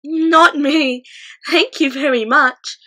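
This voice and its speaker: A woman's voice: high-pitched, fairly even intonation, slow, pushed and bright, voice pushed up, exaggerated voice. A woman voicing a polite, nervous young girl in a storybook reading. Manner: polite, timid.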